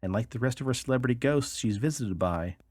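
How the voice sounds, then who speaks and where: A man's voice: mid-range voice, expressive, quick pace, darkish tone, no echo, studio quality. A man co-hosting a podcast, reading odd news stories aloud with casual, wry, joking commentary. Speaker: podcast co-host.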